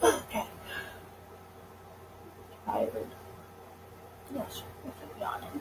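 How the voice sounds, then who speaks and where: A woman's voice: extremely slow, very noisy. A woman reading a visual novel aloud with casual commentary, stumbling over words now and then. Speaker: let's play host.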